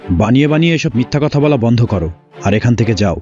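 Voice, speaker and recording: Man voicing a fast, stern, scolding father. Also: mid-range voice, expressive, mellow, muffled recording, soft background music, close to an everyday voice. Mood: angry, scolding. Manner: scolding, strict. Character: stern, angry middle-aged father of village girl. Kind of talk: Bengali fairy-tale cartoon dubbing.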